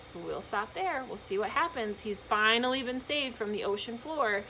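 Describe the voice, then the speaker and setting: A woman's voice: on the high side, lively intonation, moderate pace, pushed and bright, a little hiss or hum, poor audio. A woman reading a children's storybook aloud expressively, with casual explanatory asides to young listeners.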